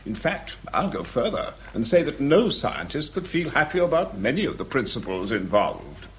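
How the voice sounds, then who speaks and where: A stiff academic in a radio drama. His medium-pitched voice is expressive but formal and precise, with clear background noise around it. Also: normal speed. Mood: earnest, stiff. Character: stiff, prim, earnest academic scientist.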